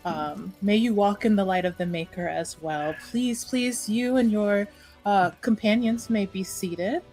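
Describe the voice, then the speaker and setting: A woman playing a gracious, courteous host receiving guests in a tabletop game, soft-spoken and polite. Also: medium pitch, measured pace, faint music behind, lightly acted.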